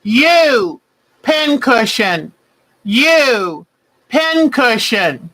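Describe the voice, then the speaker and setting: A woman, hosting a quilting bingo game and calling each clue twice, slowly and deliberately. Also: a little high, very animated, fairly bright tone, low-quality recording. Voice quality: big voice.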